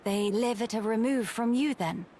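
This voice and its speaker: An actress playing a dignified young empress in a video game, firm and gracious, with regal, formal phrasing. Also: female voice, a little high, normal speed, dark tone, slight background noise, lightly acted. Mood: composed, questioning.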